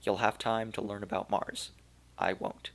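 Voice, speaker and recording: A man voicing an elderly, weary archaeologist of Turco-German origin, speaking slowly and regretfully in a gravelly voice. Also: on the low side, little pitch movement, fairly bright tone, heavily acted. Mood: resigned, fatherly. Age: older.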